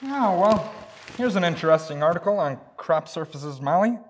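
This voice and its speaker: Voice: medium pitch, lively intonation, normal speed, husky. An actor playing a stubborn, folksy, blustery husband in an old-time radio comedy. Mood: earnest. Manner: folksy, hesitant, indignant.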